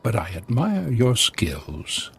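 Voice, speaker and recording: Husky old mastermind villain. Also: male voice, medium pitch, wildly swooping pitch, slow, a bit bright, full character voice. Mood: smug. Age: older. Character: old male terrorist master, calm, smug, menacing. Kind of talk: video game villain acting.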